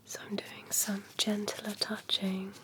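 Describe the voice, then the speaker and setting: A woman whispering breathily in an ASMR doctor roleplay, speaking gently and hesitantly like an attentive clinician. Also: mid-range voice, monotone, takes it slowly. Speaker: ASMR performer playing an eye doctor. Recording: clear background noise and ambience.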